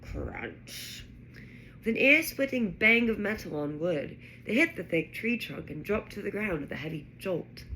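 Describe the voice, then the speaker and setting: A woman reading a children's novel aloud in an expressive, dramatic narrating style. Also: mid-range voice, moderate pace, few pauses, low-quality recording. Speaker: storybook reader. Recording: faint background noise.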